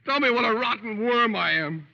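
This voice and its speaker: An actor playing a nervous, meek young lawyer and self-pitying husband in an old radio drama, hesitant and stammering. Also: male voice, very high-pitched, animated, moderate pace, vocal fry, heavily acted. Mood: bitter despair. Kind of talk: 1930s-40s radio acting. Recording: muffled vintage radio recording, echoey, faint hiss.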